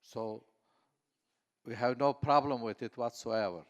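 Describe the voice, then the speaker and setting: A man's voice: medium pitch, unhurried, lo-fi sound. A man from southeast Turkey, speaking on a conference panel with many fillers and restarts. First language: Kurdish. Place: conference hall. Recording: echoey conference hall.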